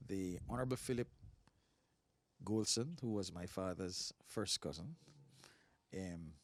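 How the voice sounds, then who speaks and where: A man in his sixties from Belize, a pastor telling stories in a relaxed interview, animated and quick to laugh. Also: low-pitched. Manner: animated storyteller, laughs often. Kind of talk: casual interview talk.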